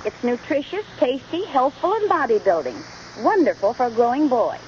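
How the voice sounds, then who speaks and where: High-voiced, animated radio housewife. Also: female voice, normal speed. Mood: gently scolding. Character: bright young housewife and mother, fondly scolding. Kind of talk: 1940s radio sitcom acting. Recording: noisy, muffled old radio recording.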